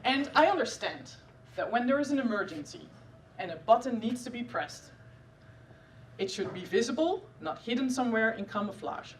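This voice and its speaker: A woman's voice: on the high side, sing-song, moderate pace, distant mic, a little hiss or hum. A woman in her thirties from the Netherlands with a Dutch accent, performing a live stand-up set about her science work in a dry, self-deprecating way with frequent filler sounds. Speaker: neuroscientist doing stand-up. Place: stage at a live comedy show.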